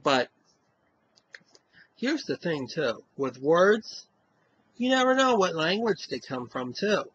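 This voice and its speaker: Slow husky man with Western Mass accent. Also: on the high side, fairly bright tone, lo-fi sound. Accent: Western Massachusetts. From Western Massachusetts. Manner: rambling, many "you know?" asides. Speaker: vlogger. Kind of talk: casual talk to camera.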